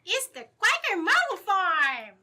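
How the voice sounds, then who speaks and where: A woman sells in perky old-time radio ad style. Her voice is extremely high and very bright, with unhurried, animated delivery. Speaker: advertisement pitch voice.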